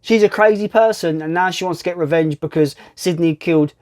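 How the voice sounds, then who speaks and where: Guy doing a casual movie ranking, kinda high voice, swears a lot, blunt and repeats himself. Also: fairly quick, a little mellow. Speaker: film reviewer, video host. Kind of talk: casual film ranking commentary.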